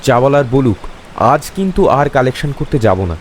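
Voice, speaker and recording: A man voicing a confident young man from town who brushes off fear in an animated horror story. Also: mid-range voice, brisk, slight background noise, natural voice. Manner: assured, dismissive of fear.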